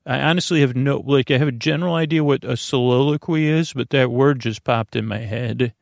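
A man hosting a bedtime sleep podcast with a creaky voice, meandering and halting in a goofy, self-deprecating way. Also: mid-range voice, no echo, clean studio sound. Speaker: podcast host.

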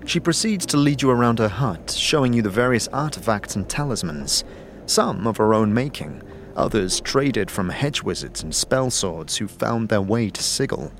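A man's voice: lowish voice, expressive, normal speed, slight background noise. A man reading scripted narration for a fantasy audio drama. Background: music.

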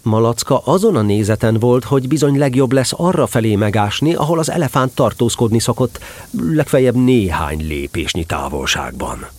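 A man narrating a storybook tale in an expressive, animated way. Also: mid-range voice, no echo.

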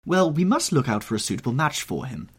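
Audiobook: male reader doing a fussy elderly hostess, raised and animated, a touch husky. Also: a little high, brisk, pitched above the performer's own voice. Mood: gushing. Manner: gushing, bossy, matchmaking.

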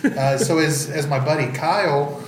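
A man's voice: a little high, normal speed, roomy sound, a little hiss or hum, poor audio. Congested man joking on a podcast. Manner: joking, laughing often. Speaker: podcast co-host. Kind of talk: casual chat. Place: basement.

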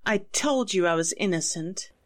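An actress playing a composed but indignant widow under suspicion in a 1940s-style radio drama, formal and defensive. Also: female voice, fairly low pitch, expressive, normal speed, natural voice.

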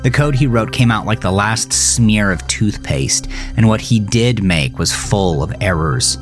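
Male narrator, low register, measured dramatic delivery for a horror thriller audio story, faint music behind. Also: animated.

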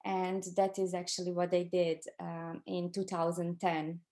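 A middle-aged woman from Croatia with a Croatian accent tells a personal story as an interview guest, conversationally, pausing to search for words in her second language. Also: on the low side, monotone, warm tone. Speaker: interview guest recounting her own experience.

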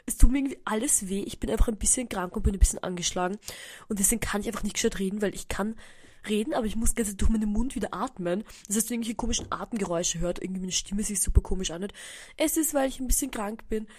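A woman's voice: medium pitch, racing, darkish tone, slightly rough. A young Austrian woman hosting a podcast, chatting casually with a stuffy nose from a cold and mixing English words into her rambling. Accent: Austrian German. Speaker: podcast host. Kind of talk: casual solo chat. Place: indoors at home.

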